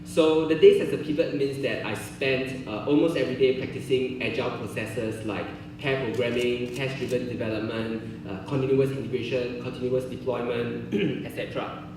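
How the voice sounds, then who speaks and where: A man from Singapore, giving a friendly, halting conference talk full of filler "uh"s in a large conference hall. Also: mid-range voice. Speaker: conference speaker. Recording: very echoey hall, from far, noisy.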